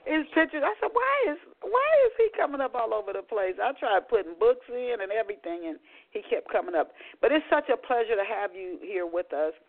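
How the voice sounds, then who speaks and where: A woman hosting book talk radio comes through a tinny, phone-like line. She talks in a chatty, animated way and often stumbles into restarts. Her delivery is upbeat and informal. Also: a little high, fairly quick. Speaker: radio show host.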